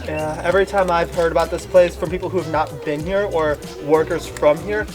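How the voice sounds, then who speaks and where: Young American man, enthusiastic outdoor interviewer, a bit high-pitched, background music. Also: fairly even intonation, brisk. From United States. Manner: eager, rambling, enthusiastic. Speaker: travel vlogger interviewing hikers. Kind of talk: casual interview. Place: outdoors on a mountain trail.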